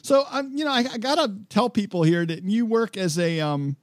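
A man's voice: high-pitched, sing-song, moderate pace, no echo, clean studio sound. A man hosting a podcast, chatting casually in a conversational, self-deprecating way. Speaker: podcast host. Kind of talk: casual interview chat.

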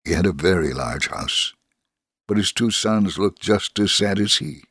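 Solemn low-voiced male reader. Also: lively intonation, unhurried, fairly bright tone, husky, dry recording, clean studio sound. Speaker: dramatic reader. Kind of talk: dramatic reading of a testimony.

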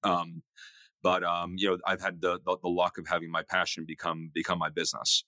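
A man with a very low voice, speaking in a casual interview with many fillers and restarts. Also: completely flat delivery, fairly quick, pushed and bright, no echo. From New York area. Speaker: guest, music investor.

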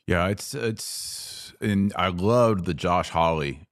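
A man's voice: low-pitched, takes it slowly, no echo, studio quality. A man hosting a podcast, interviewing in a casual, conversational style. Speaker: podcast host.

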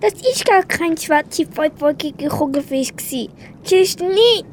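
A teenage girl voices a very high-pitched, excitable little fish with a Swiss German accent. It sounds like an amateur school radio play, with a faint rumble behind. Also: measured pace. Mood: amused relief.

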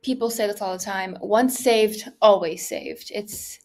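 A woman's voice: mid-range voice, unhurried, a little mellow. A woman, co-hosting and asking questions in an informal, chatty way. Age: late teens. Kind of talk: casual chat.

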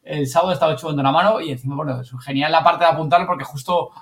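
A fast-talking man from co-hosts a podcast. His delivery is casual and colloquial, like chat among friends. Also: fairly high pitch, steady tone, fairly bright tone, a little hiss or hum. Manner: informal, colloquial. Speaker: podcast co-host.

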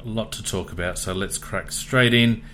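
An Australian man hosting a casual footy podcast, relaxed with many fillers. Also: lowish voice, normal speed, slight background noise. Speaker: podcast host. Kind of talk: casual sports podcast chat.

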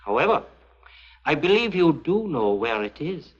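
An actor playing a suave, menacing foreign spy who speaks with formal, mock-courteous precision in an early 1950s TV drama. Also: male voice, a little high, very animated, moderate pace, breathy edge. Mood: threatening. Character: suave, menacing male foreign spy villain, coldly polite. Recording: muffled vintage 1950s TV soundtrack.

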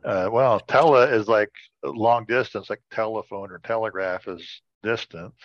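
A man's voice: fairly low pitch, steady tone, measured pace, dry recording, highs cut off. A man bantering casually as a word game contestant, repeating words and playfully riffing on them.

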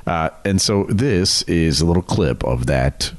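A man's voice: low voice, lively intonation, a little slow, background noise. A middle-aged man co-hosting a podcast, chatting casually and telling stories. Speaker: podcast co-host. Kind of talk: casual chat and storytelling.

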